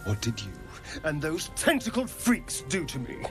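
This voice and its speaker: An actor playing a suave, haughty, wary rogue in a video game, theatrical and mockingly polite. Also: male voice, on the high side, wildly swooping pitch, normal speed, over music. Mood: accusing. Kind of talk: video game character acting.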